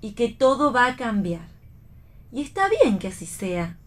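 Higher-voiced, stoic Argentine woman. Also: expressive, a little slow. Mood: resolute. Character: stoic, plain-spoken pregnant woman, quietly hopeful. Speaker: actress in a read radio play. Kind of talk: read radio theatre dialogue.

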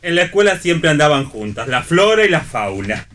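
A man's voice: a little high, big pitch swings, moderate pace, a bit bright. An actor playing a sardonic, free-spirited man who teases with dry quips in a read radio play. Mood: sardonic, teasing. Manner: wry, teasing, dry quips. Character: Miguel, sardonic free-spirited man back after long absence. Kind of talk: staged reading of a radio play.

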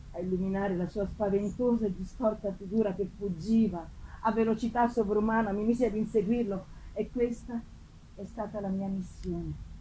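A woman's voice: mid-range voice, natural voice. A woman reading a gothic novel theatrically as an anguished man bent on revenge, in a solemn manner, on a noisy live recording. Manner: solemn, theatrical, swinging from hushed to declamatory. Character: anguished male avenger narrating his tragic hunt.

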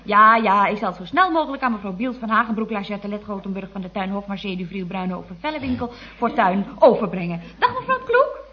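An actress playing a polite, curious office secretary with a questioning singsong manner in a vintage radio comedy. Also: female voice, mid-range voice, lively intonation, fast talker, few pauses, fairly bright tone, slight background noise, close to an everyday voice. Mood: polite, flustered. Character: polite, curious female office secretary, amused straight foil. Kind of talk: 1960s-70s Dutch radio comedy acting. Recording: dull vintage radio recording.

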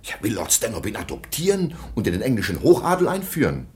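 An actor playing a stern middle-aged baron and guardian in a radio play, formal and disapproving. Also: male voice, lowish voice, wildly swooping pitch, fast, a little mellow.

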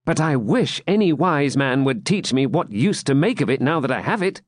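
Wry loyal jester guy, higher than his own voice, bouncy - a man reading it, dry close sound. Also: very animated, moderate pace, no room sound.

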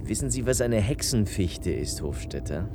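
Animated, wry journalist over car noise. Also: male voice, fairly low pitch, moderate pace. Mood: puzzled, probing. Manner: lively, ironic, probing. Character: inquisitive, wry male journalist with a sceptical streak. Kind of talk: naturalistic radio play dialogue. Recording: loud car and traffic noise.

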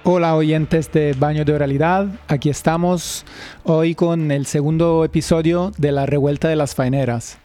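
Mellow radio host, a man. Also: on the high side, fairly even intonation, moderate pace, faint music behind. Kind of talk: scripted introduction to an audio fiction.

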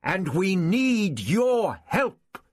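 A man voicing a determined adult male traveller who speaks urgently, often shouting. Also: high-pitched, sing-song, extremely slow, no room sound, voice pushed up, heavily acted. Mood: earnest.

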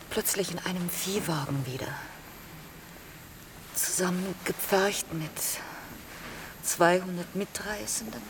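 A woman playing a quietly wry mother in a radio play, recalling her past in a hushed, hesitant, intimate way. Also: on the low side, background noise. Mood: quiet, haunted. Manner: hesitant, hushed, breaking into whispers.

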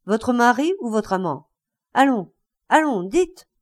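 A woman's voice: fairly high pitch, sing-song, normal speed, pitched above the performer's own voice. A woman voicing a bitter, enraged older man, a betrayed husband in a story, breathless and insistent in his outbursts. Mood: tormented, demanding.

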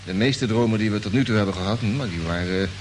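An actor playing an informal, brisk expedition crewman in a radio drama. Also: on the low side, lightly acted. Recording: old radio play, noisy ambience.

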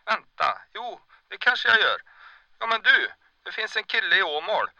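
Man playing a sharp, gravelly, hesitant contact. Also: high-pitched, sing-song, dry recording, dull audio, exaggerated voice. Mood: hesitant, musing. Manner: halting, clipped phrases. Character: shifty, hesitant male doctor, underground contact. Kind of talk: audio drama acting.

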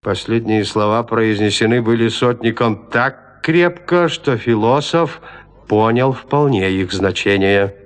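A man narrating a literary audio drama in a measured, composed storytelling manner. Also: fairly low pitch.

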